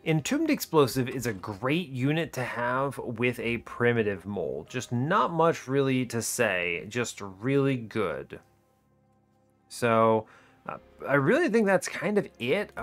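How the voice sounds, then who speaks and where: A man's voice: mid-range voice, sing-song, measured pace, fairly bright tone, soft background music. A man giving casual gameplay commentary, chatty and informal, thinking aloud as he explains his strategy. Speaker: host of a gaming video series.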